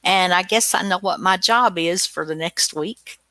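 A woman's voice: on the low side, moderate pace, bright, forceful tone, no echo. A woman hosting a radio show in casual chat, with a folksy, teasing manner.

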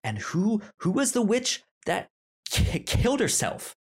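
A man's voice: sits high, huge pitch swings, measured pace, slightly rough. A man co-hosting a podcast, chatting casually about a film, who often stammers and restarts his words. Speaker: podcast co-host. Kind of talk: casual chat.

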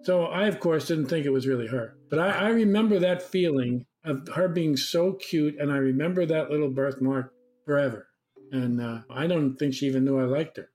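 A man's voice: a little high, normal speed, darkish tone. An older man casually sharing personal reminiscences in a group discussion. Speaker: discussion participant. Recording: music behind the voice.

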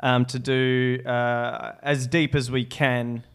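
A man from Australia hosting a podcast, talking in a relaxed, halting way with plenty of restarts. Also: medium pitch, creaky, clear, resonant tone. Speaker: podcast host. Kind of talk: casual conversation.

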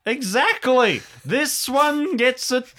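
Pompous mad eccentric for improvised roleplay male player, high voice, unhurried, highly animated delivery. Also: full character voice. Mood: pompous, gleeful. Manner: pompous, rambling, emphatic. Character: crazy pompous rambling man obsessed with condiments.

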